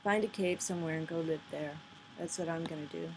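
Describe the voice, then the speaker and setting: A woman's voice: sits low, steady tone, a little fast, a little mellow, slight background noise. A woman giving rambling, earnest, off-the-cuff commentary in a casual style, on a poor-quality home recording. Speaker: video commentator. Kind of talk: casual off-the-cuff commentary over played videos.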